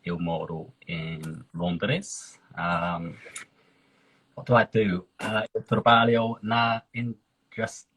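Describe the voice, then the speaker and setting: A man's voice: fairly low pitch, fairly even intonation, very slow, a bit bright, breathy edge, poor audio. A young Englishman who is a guest on a live interview, speaking hesitantly with many fillers and switching between learner Portuguese and his native English. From England. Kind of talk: casual interview.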